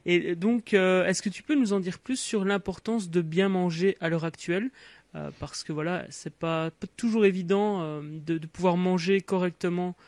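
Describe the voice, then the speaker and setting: A man's voice: high voice, moderate pace, darkish tone, no room sound. A man hosting an interview, hesitant and filling his questions with stock phrases. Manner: hesitant, fills with "voilà". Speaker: interview host.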